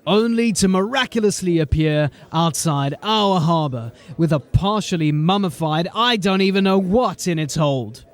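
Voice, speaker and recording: High-voiced, wry police sergeant. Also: male voice, lively intonation, normal speed, hardly any pauses. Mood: dry, determined. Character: brisk, dryly authoritative male police sergeant. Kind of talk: fantasy audio drama acting.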